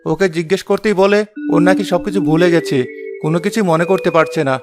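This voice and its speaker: A man's voice: high-pitched, fairly even intonation, fast talker, over music. A man voicing a kind but weary village woodcutter in a children's cartoon. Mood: kind, concerned.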